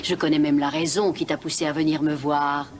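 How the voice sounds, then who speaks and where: A woman playing a sly, powerful, wicked sea witch in an animated film, condescending and coaxing. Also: low voice, a little fast, background noise, close to an everyday voice. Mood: mocking, scornful. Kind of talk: French-language animated film voice acting.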